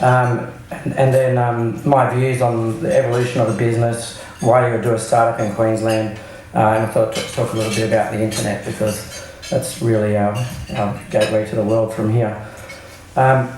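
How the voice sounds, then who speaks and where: A middle-aged man, hoarse from travel, giving a halting business talk into a microphone with many ums and restarts. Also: mid-range voice, a little hiss or hum. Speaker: startup CEO giving a talk. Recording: very echoey room, faint clatter of dishes.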